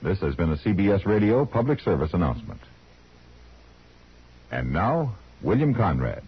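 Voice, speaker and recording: A man with an American accent announcing from a script on 1950s radio in a measured, formal way. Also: low-pitched, very animated, husky. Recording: dull vintage radio broadcast.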